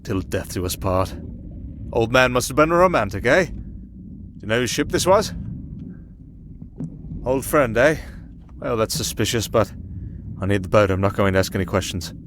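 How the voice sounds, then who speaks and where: A man's voice: on the low side, lively intonation, moderate pace, pauses often, fairly bright tone. Man playing wry castaway captain over sea noise. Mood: curious, suspicious. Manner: chatty, wry, addressing an unheard companion. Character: roguish, wry young castaway musician turned makeshift captain. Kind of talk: ASMR fantasy roleplay acting. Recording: clear outdoor sea ambience behind.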